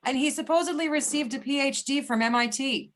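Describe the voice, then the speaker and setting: A woman's voice: sits high, a little fast, a bit bright. A woman playing a wry, self-assured FBI agent with a dry, teasing manner in an amateur script reading.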